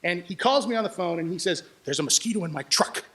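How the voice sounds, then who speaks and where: A man's voice: sits high, expressive, fast, a bit bright. A man speaking as a sound editor, telling anecdotes in a public presentation.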